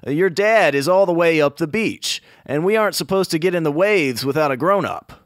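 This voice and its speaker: A man's voice: a little high, animated, fairly quick, dry recording, studio quality, lightly acted. Man voicing a nervous little worm-bird. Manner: hesitant, rule-minded. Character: cautious young male worm-bird friend, nervous.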